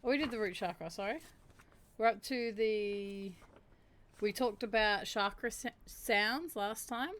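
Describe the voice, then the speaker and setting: A woman with a naturally deep voice talks casually to her stream audience from a streaming mic. She chats freely and reads meditation steps aloud, stretching the chanted sounds out slowly. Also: fairly bright tone. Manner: chatty, laughs and reacts to chat; slows down to chant drawn-out syllables. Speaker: livestream host. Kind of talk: casual chat mixed with reading aloud.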